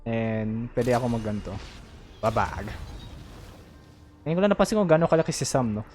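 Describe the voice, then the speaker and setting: A man's voice: medium pitch, sing-song, brisk, a little mellow, slight background noise. A man with a Filipino accent doing casual livestream commentary and reading game text aloud, informally mixing English and Tagalog. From Philippines. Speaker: game streamer.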